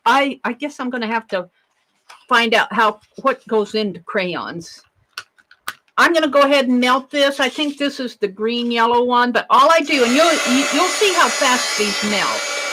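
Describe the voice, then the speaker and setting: A woman hosting an art livestream in casual chat, with a loud, projected voice, rambling and thinking aloud as she demonstrates. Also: a little high, slight background noise, poor audio.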